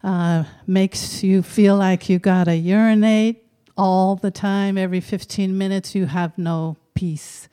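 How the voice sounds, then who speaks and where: A middle-aged woman telling a personal story to an audience in a frank, conversational way, pausing on small fillers. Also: medium pitch, fairly even intonation, dark tone, clean tone.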